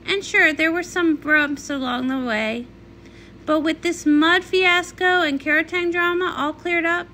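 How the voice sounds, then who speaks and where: A woman's voice: very high voice, sharp tone, slight background noise, heavily acted. A woman voicing a vain, scheming cartoon nymph with a grandiose, theatrical manner in a comic read-along. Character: vain scheming pony-world nymph, grandiose and easily upset.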